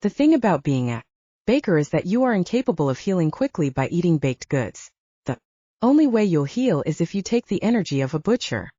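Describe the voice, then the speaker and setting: A woman's voice: lowish voice, big pitch swings, fairly quick, warm tone, dry recording. A woman voicing a stern, protective man with a clipped, commanding manner, in a dull audiobook recording. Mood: stern, curt. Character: stern, protective supernatural man, curt and formal.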